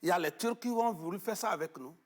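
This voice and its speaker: A man being interviewed in a television studio, explaining at length with emphasis, often pausing on fillers and repeating words. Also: sits high. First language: Arabic-educated, French not first. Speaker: guest on traditional knowledge.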